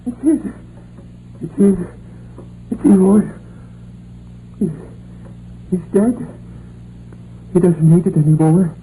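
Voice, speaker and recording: A guilty, frightened miner in an old radio play. The voice is high and very muted in tone, slow and halting with agitated repetitions. Faint noise of an aged broadcast surrounds it. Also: male voice, warm tone, rough recording. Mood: unhinged, fragile. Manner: agitated, stammering, repeats himself. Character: desperate, frightened Welsh miner turned guilty poisoner. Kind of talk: 1950s radio drama acting.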